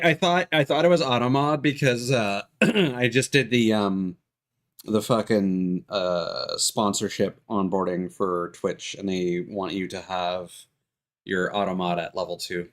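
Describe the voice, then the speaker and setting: A man chatting casually as he streams a game, often hesitating and trailing off mid-thought. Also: on the low side. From Canada. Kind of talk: casual livestream chat with game text read aloud.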